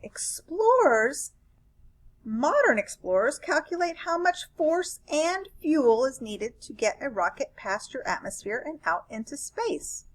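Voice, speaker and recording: A woman's voice: high voice, very animated, a little slow, pitched above the performer's own voice. A woman reading a children's picture book aloud, voicing a curious, cheerful space alien who explains things eagerly. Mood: eager explaining.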